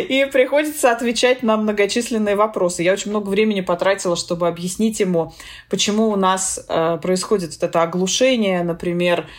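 A woman from Russia with a slight Russian accent, a philologist answering interview questions thoughtfully, with frequent fillers and easy laughter. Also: medium pitch. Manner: thoughtful, hesitant with many fillers, laughs easily. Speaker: guest, philologist and Russian teacher in the US.

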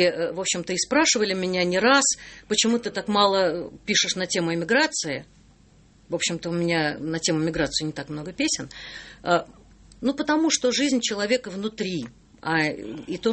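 A middle-aged woman, a singer-songwriter guest, speaking thoughtfully with frequent hesitations in a radio interview. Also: lowish voice.

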